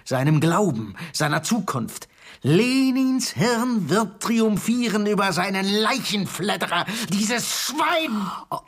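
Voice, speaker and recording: An actor playing a boastful, seductive and fanatical Soviet security chief in a radio drama, theatrical in manner. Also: male voice, very animated, slow, heavily acted. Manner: theatrical, swinging from booming to hushed.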